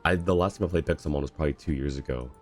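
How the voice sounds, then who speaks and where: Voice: very deep voice, very fast. An easygoing livestreamer chatting casually while reacting to a game and viewers. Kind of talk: casual game stream chat.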